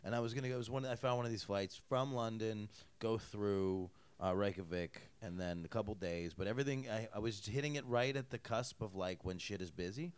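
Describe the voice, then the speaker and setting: Fast-talking low-voiced man. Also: smooth. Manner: fast, rambling, trails low at phrase ends. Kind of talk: casual chat.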